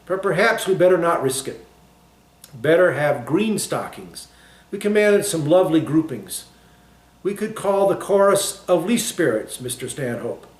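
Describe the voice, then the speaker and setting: A woman's voice: low-pitched, lively intonation, moderate pace, reverberant, pitched above the performer's own voice. A man voicing a bossy, fussy society matron in a storybook reading, decisive yet dubious. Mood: fussy, planning.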